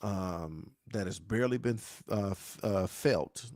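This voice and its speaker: A pastor who talks to viewers in a preachy, repeating way and asks "right?" again and again. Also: male voice, on the low side, measured pace, a little mellow, no room sound, studio quality. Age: 40s. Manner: dialect grammar, says "y'all". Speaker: pastor hosting a grief talk show. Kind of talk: live-stream talk answering viewer questions.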